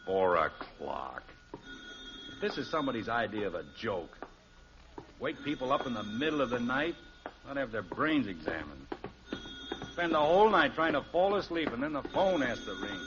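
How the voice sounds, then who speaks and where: A man's voice: mid-range voice, sing-song, normal speed, long pauses, muffled recording. An actor playing a grumpy, sleepy middle-aged American father in an old radio sitcom, grumbling in exasperation. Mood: exasperated. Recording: noisy, band-limited vintage radio recording.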